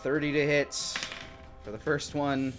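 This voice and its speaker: A man's voice: fairly high pitch, little pitch movement, unhurried. A man in his thirties running a live tabletop game as game master, improvising narration of the action. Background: music.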